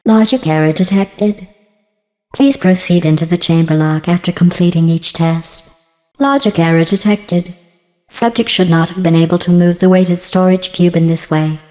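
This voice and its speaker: A woman voicing a passive-aggressive female artificial intelligence in a video game, deadpan and mock-sweet, with synthetic robotic processing. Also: fairly low pitch, normal speed, big-room sound, faint music behind, rough recording. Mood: clinical, deadpan. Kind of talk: scripted video game dialogue.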